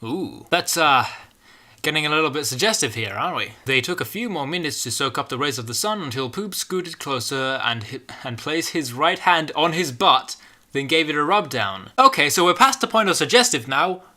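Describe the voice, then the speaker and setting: Male YouTube host, bright sharp voice, sardonic reader-commentator, casual swearing. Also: fairly high pitch, animated, normal speed. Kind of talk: comedic fanfiction reading with sarcastic commentary.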